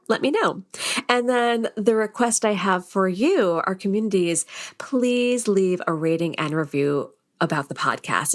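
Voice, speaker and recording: A woman hosting a podcast, making friendly announcements and direct appeals to her listeners. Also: mid-range voice. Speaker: podcast host.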